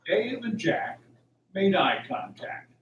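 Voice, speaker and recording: Male radio play narrator, animated delivery, recorded off-mic in an echoey room. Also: sits high, slow pace, muffled recording. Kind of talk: scripted radio drama narration. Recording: echoey room, distant mic, faint hiss.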